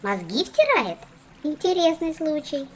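Very high-pitched haughty doll girl. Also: very animated, measured pace, a little hiss or hum, rough recording. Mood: smug teasing. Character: dramatic, haughty little doll girl, fussy and boastful. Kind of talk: children's cartoon dialogue.

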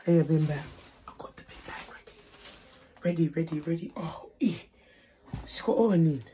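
A young woman with a deep, raspy voice, chatting playfully and slangily to camera in a small bedroom. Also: brisk, mellow, slight background noise. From United Kingdom. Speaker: haul vlogger. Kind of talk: casual chat to camera.

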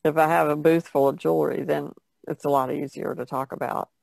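Very creaky, plain-spoken woman. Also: low voice, fairly even intonation, brisk, darkish tone, no room sound. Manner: plain-spoken, matter-of-fact. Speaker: artist, podcast guest. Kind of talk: casual chat. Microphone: online call.

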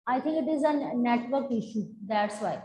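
A high-pitched woman with an Indian English accent teaches grammar, repeating each point and asking her class whether it is clear. Her voice carries a roomy echo from the classroom, picked up by a lapel mic. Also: lively intonation, moderate pace, darkish tone. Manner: repetitive, checks in with students often. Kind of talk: online grammar lesson at a chalkboard. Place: classroom in front of a chalkboard.

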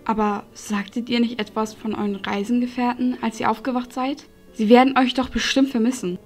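A woman playing a gentle, resigned captive noblewoman in a fantasy audio drama, courteous and soft-spoken. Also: a little high, flat delivery, a little fast, faint music behind. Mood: curious. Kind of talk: fan-made fantasy audio drama acting.

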